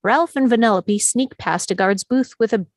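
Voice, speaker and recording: A woman's voice: a little high, normal speed, dry recording, clean studio sound. A woman reading scene directions as the narrator of a live script table read.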